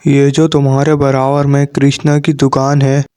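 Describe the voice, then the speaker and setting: A discouraging village man played by an actor in a cartoon tale. He is blunt and matter-of-fact, with a smooth, mellow, very flat voice. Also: mid-range voice, normal speed, dry recording, clean studio sound, lightly acted. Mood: dismissive. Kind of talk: cartoon moral-story dialogue.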